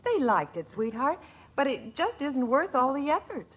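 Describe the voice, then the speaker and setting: A strong-willed 1950s sitcom mother arguing with brisk sarcasm. Her voice is rather high and swings animatedly. The sound is a muffled old radio broadcast. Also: big pitch swings, slight background noise. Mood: placating. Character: exasperated, strong-willed suburban wife and mother. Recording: dull vintage radio broadcast.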